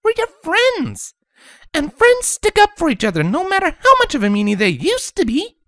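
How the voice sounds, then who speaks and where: A man reading aloud puts on a bubbly teenage girl, bouncing high and excited through her lines. It is a very high falsetto over a dry, close recording. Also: moderate pace, no echo, exaggerated voice. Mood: earnest. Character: bubbly, cheerful teen girl.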